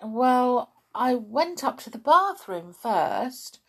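A woman's voice: on the high side, sing-song, takes it slowly, husky, pitched above the performer's own voice, full character voice. A woman voicing a sensible, hesitant little boy in a children's story, questioning and pleading to be heard. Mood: worried, pleading.

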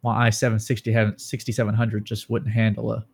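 A man co-hosting a casual gaming news podcast, talking excitedly about PC hardware and joking with his co-host. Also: lowish voice, fast talker, creaky, husky. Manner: excitable, breaks into dramatic movie-quote impressions.

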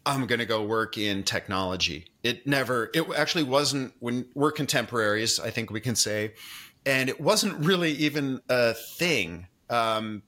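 Bright-voiced male podcast host. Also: medium pitch, lively intonation, moderate pace. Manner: friendly, conversational with "you know". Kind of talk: interview hosting, scripted intro.

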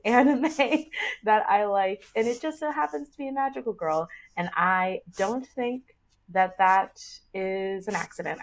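Chatty, enthusiastic young woman, podcast guest. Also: mid-range voice. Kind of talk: casual chat about anime.

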